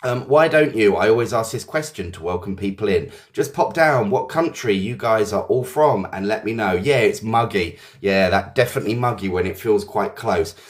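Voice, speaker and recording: An English man runs a live-streamed English class. His voice sits slightly low, and he stays cheerful and chatty, greeting viewers one by one. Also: expressive, moderate pace, few pauses. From England. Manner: upbeat, greets viewers by name. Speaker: English teacher.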